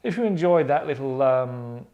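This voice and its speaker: Man, 30s, mid-pitched, casual and dry, rambling host in a relaxed group discussion. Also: moderate pace, dull audio. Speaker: writer and host. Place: furnished indoor lounge.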